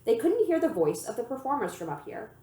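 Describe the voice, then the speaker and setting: A woman reading a children's novel aloud in an expressive, storytelling manner. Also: fairly high pitch, a little fast. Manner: animated storytelling, acts out the dialogue. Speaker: library reader of children's books. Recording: echoey room.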